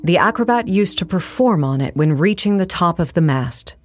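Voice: mid-range voice, expressive, normal speed, soft background music, close to an everyday voice. A narrator reading a scripted documentary account for an audio play in a formal, factual manner.

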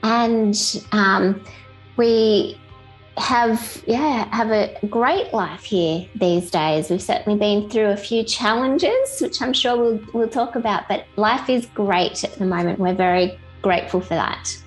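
A middle-aged woman with an Australian accent talks casually as an interview guest. She sounds upbeat and chatty, at an average female pitch, with faint music in the recording. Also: takes it slowly, low-quality recording.

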